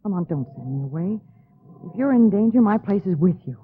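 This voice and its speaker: A woman acting as a devoted, plucky and excitable heroine of an old 1940s radio thriller. Her speech is melodramatic and swings widely in pitch. The sound is muffled, with faint noise behind it. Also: brisk, lightly acted. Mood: pleading, stubborn. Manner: melodramatic, expressive. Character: devoted, plucky, excitable sweetheart of a detective hero. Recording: muffled, noisy old-time radio recording.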